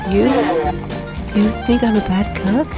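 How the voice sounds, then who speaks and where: A woman's voice: mid-range voice, steady tone, unhurried, lightly acted. A woman voicing a cheerful young child lizard creature, earnest and proud, in a cartoon comic dub. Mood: offended. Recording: music behind the voice.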